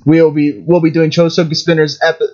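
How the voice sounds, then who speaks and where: A man's voice: fairly high pitch, level tone, fairly quick, darkish tone, lo-fi sound. A man hosting a casual podcast, talking in a rambling way with many restarts. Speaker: podcast host. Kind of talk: casual chat.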